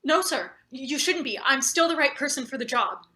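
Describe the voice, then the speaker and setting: Woman playing a bright, flustered, stammering executive. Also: high voice, lively intonation. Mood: flustered, fawning. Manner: halting, stammering, anxious. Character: flustered, deferential new boss fawning to superior on phone. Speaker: actor. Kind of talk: live table read of satirical play.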